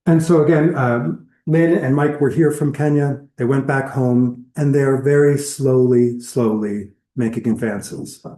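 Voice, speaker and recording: Mellow-voiced man lecturing in an echoey room. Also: medium pitch, fairly even intonation, measured pace, few pauses. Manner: conversational and explanatory, lists examples. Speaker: lecturer on whale entanglement response. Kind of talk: public lecture. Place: lecture hall.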